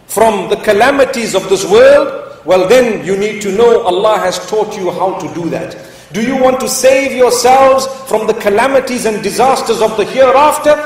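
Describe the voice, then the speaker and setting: High-voiced preacher man in echoing hall. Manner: rhetorical questions, emphatic pauses; chants Arabic scripture melodically. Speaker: Islamic preacher. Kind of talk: lecture to a live audience. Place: large mosque hall. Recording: echoey hall, mic far off.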